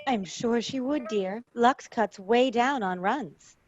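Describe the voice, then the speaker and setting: Woman playing a slow-spoken, kindly mother. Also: a little high, darkish tone, soft background music. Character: kindly, unhurried mother in radio commercial. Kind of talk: amateur 1940s radio commercial table read. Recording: dull, lo-fi video call.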